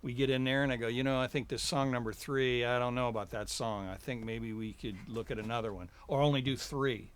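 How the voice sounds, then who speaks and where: Brief: easygoing man, studio guest, medium pitch, rambling and chuckly. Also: a little fast, few pauses, smooth. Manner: relaxed, chuckling, rambling with fillers. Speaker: guest, recording engineer. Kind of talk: casual interview chat. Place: recording studio.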